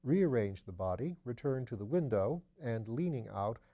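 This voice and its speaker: A man narrates an audiobook in a soft-edged, mellow voice of medium pitch. His phrasing is measured and careful, suited to literary prose. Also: animated. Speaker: audiobook reader.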